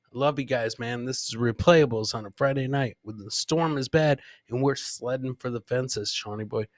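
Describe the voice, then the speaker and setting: A man's voice: mid-range voice, normal speed, no echo, clean studio sound. A man hosting a live comedy podcast, giving casual, rambling commentary on clips and repeating his catchphrases.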